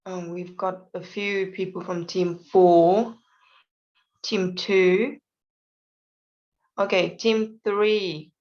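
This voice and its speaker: A woman teacher speaks slowly and patiently on a video call, pausing often with polite fillers. The sound is dull and lo-fi. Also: lowish voice, fairly even intonation. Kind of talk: online class, calling on students.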